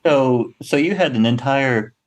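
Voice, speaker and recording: A man speaking as an interview guest, telling stories casually with a halting, wry, humorous delivery. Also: mid-range voice. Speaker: guest playwright and actor.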